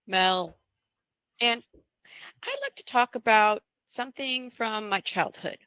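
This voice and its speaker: A woman's voice: a little high, slow, pushed and bright, clear, resonant tone, no echo, dull audio. A woman playing a chatty, attention-seeking young patient in a dramatic table read, eager and flippant.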